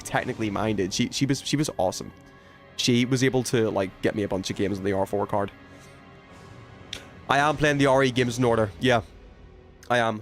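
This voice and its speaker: A man in his twenties chatting casually on a gaming livestream, rambling informally and talking to himself as he plays. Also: mid-range voice. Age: 20s. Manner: rambling, informal, self-talking while playing. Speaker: video game streamer.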